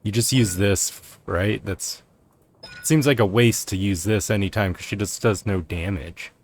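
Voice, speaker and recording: A man giving casual gameplay commentary, low-key and hesitant, thinking out loud as he plays. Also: a little slow. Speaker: gaming streamer. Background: faint game music.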